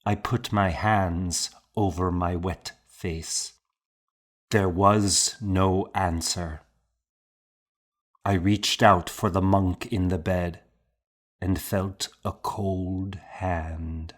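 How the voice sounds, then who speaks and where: Slow, low-voiced male audiobook narrator. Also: steady tone. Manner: measured, solemn storytelling. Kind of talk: scripted first-person literary narration of a historical novel.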